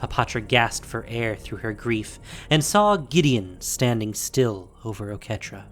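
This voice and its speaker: A man narrating an audio drama in a measured, dramatic storytelling manner. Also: medium pitch, expressive, a bit bright, soft background music. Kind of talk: dramatic scripted fantasy narration.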